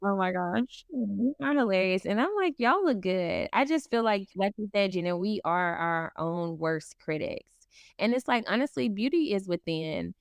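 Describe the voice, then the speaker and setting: A woman's voice: medium pitch, lively intonation, moderate pace, creaky, clear, resonant tone, no echo, studio quality. A woman co-hosting a podcast, speaking in an encouraging, reflective way in casual chat. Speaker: podcast co-host.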